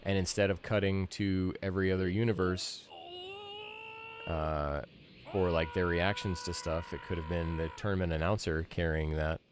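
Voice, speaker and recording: A man's voice: low voice, fairly even intonation, normal speed, noisy background. A man in his thirties giving casual, musing commentary as a game streamer, sharing a fan's opinions. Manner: musing, opinionated fan chat. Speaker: streamer, podcast host.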